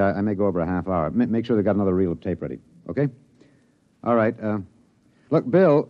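Casting: man playing driven radio editor-narrator, low voice, serious and candid, close dry sound. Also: no room sound, lightly acted. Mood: businesslike. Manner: off-the-cuff asides, then grave dramatic delivery. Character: driven, candid newsman recording a solemn documentary narration. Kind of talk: 1950s radio drama narration. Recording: dry, dull old radio recording.

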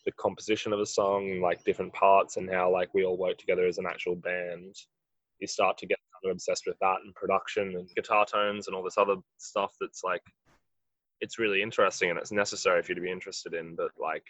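A musician with a husky voice, answering interview questions in a rambling way, full of "like". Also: male voice, deep voice, little pitch movement, quick pace, fairly bright tone, dry recording, lo-fi sound. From Australia. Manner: rambling, heavy use of "like". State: husky from late rehearsal. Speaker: musician in a band.

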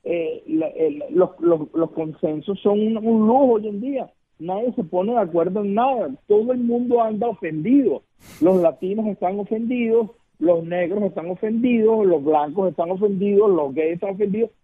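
A man's voice: high voice, moderate pace. A man from Venezuela with a Venezuelan Spanish accent, a guest political consultant talking earnestly in a phoned-in radio interview, repeating words and asking rhetorical questions. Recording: muffled phone line.

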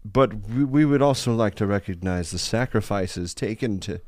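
A man's voice: fairly low pitch, moderate pace, a little mellow, no room sound. Easygoing young man, dry sound. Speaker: player. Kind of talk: casual tabletop play.

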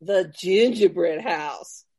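A woman playing a folksy, effusive cooking show host in a radio comedy, with a drawn-out, gushing delivery. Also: fairly low pitch, a little mellow, husky. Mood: chatty, exaggerated. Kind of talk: theatrical radio comedy patter.

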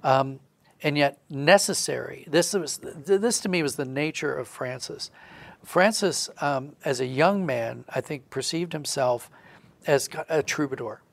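Higher-voiced, creaky man in earnest discussion. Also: measured pace, fairly bright tone, no room sound. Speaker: audio drama writer discussing his work. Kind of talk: conversational religious podcast discussion.

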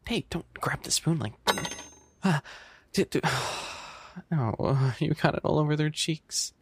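A man's voice: on the high side, lively intonation, measured pace, background noise. A man voicing a bashful, fussy young animal-boy maid café server in an ASMR roleplay, stammering and pouty. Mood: embarrassed, scolding.

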